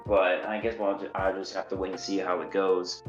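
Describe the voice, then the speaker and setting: Low-voiced man calling in from an echoey room. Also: brisk, lo-fi sound, over music. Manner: relaxed, hesitant, thinking aloud. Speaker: caller. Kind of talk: casual call-in chat.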